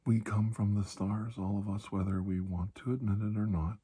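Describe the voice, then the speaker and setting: A man playing a calm, reassuring doctor in an ASMR roleplay, speaking softly and breathily, often close to a whisper, with a measured, halting delivery. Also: fairly low pitch. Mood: solemn, hushed. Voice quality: soft, breathy, husky, often near whisper. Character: calm, reassuring male doctor treating a patient. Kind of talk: ASMR roleplay, hushed storytelling.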